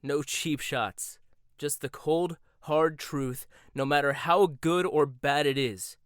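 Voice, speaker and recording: A man's voice: on the high side, slow, clean tone, no echo, clean studio sound. A young man playing a cocky, condescending rival chef in a boyfriend ASMR roleplay, teasing and smug, often laughing. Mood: challenging. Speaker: voice actor.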